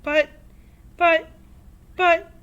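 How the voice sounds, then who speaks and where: A woman's voice: very high voice, little pitch movement, drawn-out words, fairly bright tone, lo-fi sound, voice pushed up, heavily acted. A woman reading a children's story aloud, voicing a startled mother who stammers. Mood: shocked. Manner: stammering cries.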